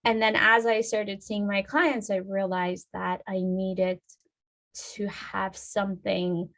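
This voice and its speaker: A woman's voice: medium pitch, level tone, slow, creaky, fairly bright tone. A woman in her thirties from Iran, a yoga and Ayurveda healer, speaking as an interview guest in a thoughtful, hesitant way with many fillers.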